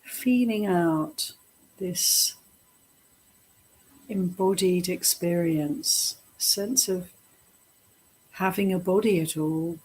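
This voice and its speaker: A woman guides a meditation in a slightly low, breathy and husky voice. She speaks very slowly and gently, setting out short phrases with pauses between them. Her delivery is softly instructive, as a teacher leading a practice. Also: rough recording.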